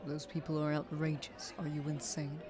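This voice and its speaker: A woman playing a dignified, protective queen mother in an audio roleplay, with a measured, formal, regal delivery. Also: deep voice, monotone, a crowd in the background, natural voice. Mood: skeptical, stern.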